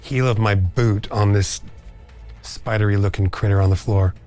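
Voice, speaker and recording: Low-voiced male game player over music. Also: steady tone, moderate pace, dark tone. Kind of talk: casual game chat. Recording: music behind the voice.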